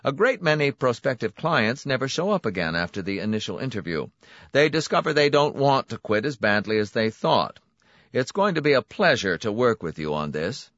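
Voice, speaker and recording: A man voicing a smooth, smiling, menacing clinic director who relishes his words. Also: medium pitch, very animated, moderate pace, fairly bright tone, no room sound, lo-fi sound, voice pushed up. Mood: smooth, cordial. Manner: silky, pointed, relishing emphasis.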